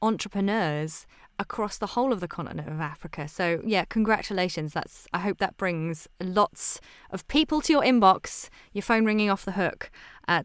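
A woman hosts a podcast in a conversational interview style. Her voice sits at a middle pitch, and she talks like someone chatting through questions with a guest. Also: clear, resonant tone, no room sound, studio quality. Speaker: podcast host.

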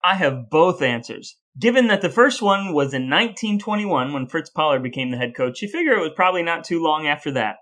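A man's voice: fairly high pitch, expressive, a little fast, few pauses, pushed and bright. An American man co-hosting a podcast in a conversational style. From United States. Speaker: podcast co-host.

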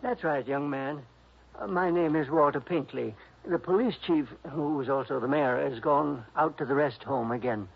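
A gentle, fussy older man in an old radio drama. His voice is fairly high, gravelly and husky, with a plaintive, hesitant delivery. It comes through a muffled vintage recording. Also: moderate pace. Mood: mournful. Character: fretful, gentle older male jailed composer. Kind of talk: early 1960s radio drama acting.

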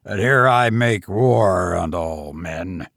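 A man's voice: low-pitched, expressive, takes it slowly, vocal fry, a bit bright, dry recording, clean studio sound, voice pushed down, full character voice. A man voicing an insane, murderous tavern host who escaped from prison, roaring and laughing madly. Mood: bitter. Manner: roaring, mad mirthless laughter.

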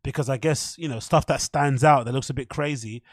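A man's voice: mid-range voice, a little fast, no room sound, clean studio sound. A man with a British accent hosting a podcast, rambling casually in slangy, sweary, irreverent commentary as he reads things out and reacts. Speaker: podcast host.

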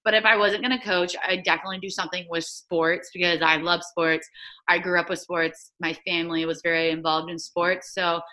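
A woman's voice: lowish voice, level tone, fairly quick, sharp tone. A young woman in her twenties, a former soccer player, chatting casually as a guest over a video call, with lots of "like" fillers. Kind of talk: casual remote interview.

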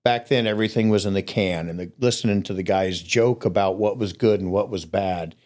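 A man's voice: fairly low pitch, a little fast. A man recounting stories he gathered, in a casual, rambling way with fillers, and a scratchy throat that makes him cough. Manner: relaxed, rambling with fillers and restarts. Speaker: guest storyteller. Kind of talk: casual storytelling on a podcast.

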